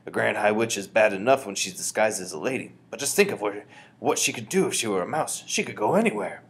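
A bold old storybook grandmother, acted out by a man, feisty and commanding. The voice is husky, with big emphatic swoops of pitch. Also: expressive, fast talker. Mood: brisk, stern.

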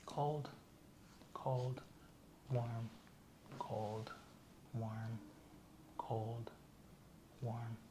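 A man playing a patient in a quiet ASMR roleplay, giving brief, subdued, hesitant answers. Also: mid-range voice, steady tone, drawn-out words, long pauses. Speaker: patient being examined. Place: small indoor room set up as exam office. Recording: quiet voice under clear room noise.

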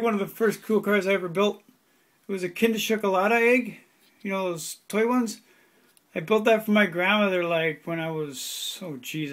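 Rambling high-voiced man in his forties. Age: 40s. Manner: rambling and offhand, many fillers, mild swearing. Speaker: hobbyist vlogger. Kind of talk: casual walkthrough of his workshop. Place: cluttered home workshop, indoors. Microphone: handheld phone camera.

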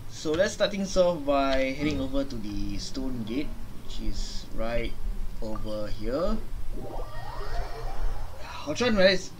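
A young male streamer reacting casually to a game. He talks slowly at an average pitch. Faint noise can be heard behind his voice. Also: big pitch swings, low-quality recording. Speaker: gaming streamer. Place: small indoor room.

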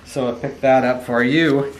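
A man's voice: mid-range voice, steady tone, normal speed. A middle-aged man in a casual home vlog, chatty and enthusiastic as he unpacks, with salesy asides, recorded in a living room by a camera at some distance. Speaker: toy car collector and dealer. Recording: echoey living room, faint background noise.

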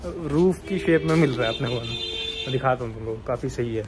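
An excited young man talks to the phone in his hand as he shows off a hotel and a hillside view. His voice sits at an ordinary pitch, and the recording is noisy, with outdoor and traffic sounds clearly audible behind him. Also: normal speed. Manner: chatty, excited, mixes in English words. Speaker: travel vlogger. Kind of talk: casual travel vlog to camera. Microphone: phone held at arm's length.

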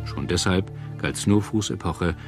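A man reading scripted documentary narration in a measured, solemn manner. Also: low voice. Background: music.

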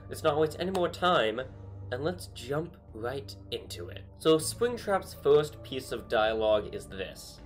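A young man talking casually at an ordinary pitch, thinking out loud with lots of ums and uhs. He sounds relaxed and conversational, like he's recording from his bedroom desk. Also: a little slow, faint music behind. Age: young adult. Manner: chatty, thinking aloud with many fillers. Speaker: YouTuber analyzing game dialogue. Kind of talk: casual commentary. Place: small bedroom with string lights.

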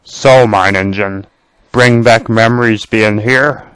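Storybook villain: older male engine, lowish, menacing and scornful acted by a man, over music. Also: fairly even intonation, takes it slowly, a bit bright, smooth, dry recording, rough recording. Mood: cool. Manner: cold, threatening.

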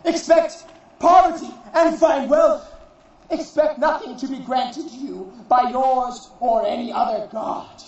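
A man performing a theatrical monologue as a proud, defiant warrior, solemn and declamatory, in deliberate phrases. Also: very high voice, a little mellow. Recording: distant, very echoey, muffled recording.

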